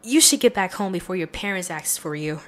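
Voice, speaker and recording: A woman's voice: lowish voice, expressive, a little fast. A woman performing a girlfriend roleplay for listeners, coaxing and affectionate. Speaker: ASMR roleplay creator.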